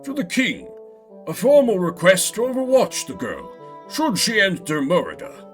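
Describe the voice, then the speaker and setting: Guy doing a formal mouse adviser, pitched up and very swoopy, servile, courtly game character. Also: huge pitch swings, faint music behind. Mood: deferential, advising. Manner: formal, measured, obsequious. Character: deferential, courtly mouse lore master adviser.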